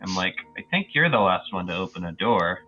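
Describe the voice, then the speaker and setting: A man's voice: low-pitched, big pitch swings, a little fast, bright, forceful tone, faint music behind. A man playing a tabletop roleplaying game, chatting casually and tentatively, on a dull lo-fi recording.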